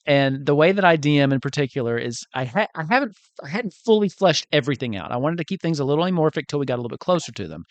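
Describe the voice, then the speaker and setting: A man's voice: on the high side, no echo. A man chatting casually as the game master of a tabletop campaign, joking and acting out quotes. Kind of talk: casual group chat about a game.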